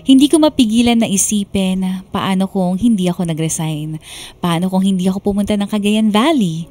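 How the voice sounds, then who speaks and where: A woman's voice: mid-range voice, mellow, natural voice. A woman playing a reflective young woman narrating her own life story in a Tagalog radio drama. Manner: reflective, earnest. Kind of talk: scripted first-person radio drama narration. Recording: music bed underneath.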